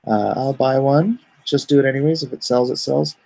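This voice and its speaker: A middle-aged man streaming live from home, reading chat aloud and answering it in an easygoing, even-tempered way. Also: medium pitch, normal speed, gravelly. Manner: calls himself soothing and even-tempered; reads chat comments aloud and answers them.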